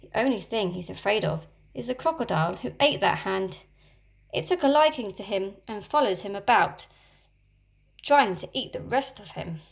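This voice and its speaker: A woman's voice: mid-range voice, expressive, normal speed, gravelly. Storybook boy hero, eager and cocky; voiced by a woman narrator. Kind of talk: storybook character voice.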